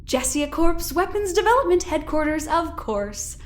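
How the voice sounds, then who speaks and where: A woman's voice: very high-pitched, sing-song, moderate pace. A woman playing a polite but calculating scientist in an audio drama, with a genteel, formal, mock-innocent manner. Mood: smug, coy. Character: polite, smug, calculating woman scientist, needling and faux-sweet.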